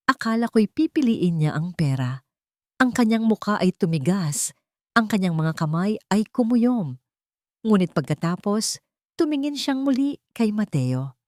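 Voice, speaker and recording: A female storyteller reads a dramatic first-person tale. Her voice sits a little low and is captured very close and dry. The delivery is measured, solemn and full of feeling. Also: dry recording. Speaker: audio story narrator.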